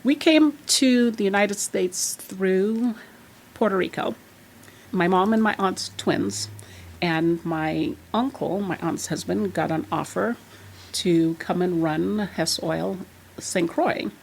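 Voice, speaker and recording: A woman's voice: fairly low pitch, slow, creaky, a little hiss or hum. A woman in her sixties from Trinidad and Tobago, chatting as a podcast guest and telling stories from her childhood. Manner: chatty and animated, laughs while telling stories. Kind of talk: casual storytelling chat.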